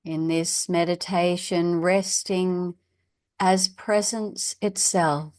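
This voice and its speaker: A woman meditation teacher speaks very slowly in a slightly low voice. She gives measured instructions and leaves long pauses between phrases. The recording is dry and close, with no room sound. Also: steady tone, a little mellow, no room sound. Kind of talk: guided meditation.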